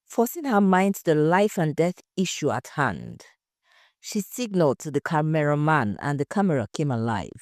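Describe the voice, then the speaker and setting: A woman narrating a scripted story in a measured, dramatic way. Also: deep voice, very animated, a little mellow, dry recording, clean studio sound. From Nigeria.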